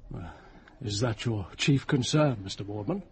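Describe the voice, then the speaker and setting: An actor with a British accent playing a shrewd police inspector, formal, measured and politely probing, in a radio drama. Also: male voice, on the low side, a little mellow, close to an everyday voice. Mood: dry, probing.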